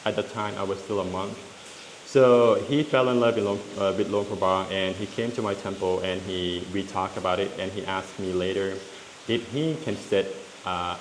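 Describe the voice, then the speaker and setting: A Lao man in his thirties with a Lao accent, explaining things in a casual vlog with frequent fillers and restarts. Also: deep voice. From Laos. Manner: talks in halting stretches with many fillers and restarts. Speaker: vlogger. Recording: echoey room with long reverb and faint hiss.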